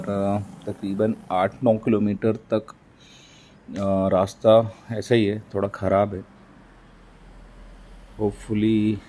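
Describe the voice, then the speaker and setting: Slow-talking man in a moving car. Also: on the low side, dark tone. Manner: unhurried, pauses with fillers. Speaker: road trip vlogger. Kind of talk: casual narration while driving. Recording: car with faint road noise.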